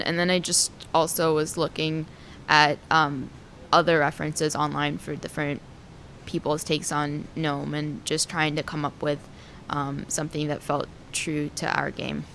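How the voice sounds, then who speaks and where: A young woman who grew up in the United States, answering interview questions as a game artist in a soft, hesitant way with many pauses. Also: low-pitched, steady tone, slight background noise. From Israel, raised in the United States.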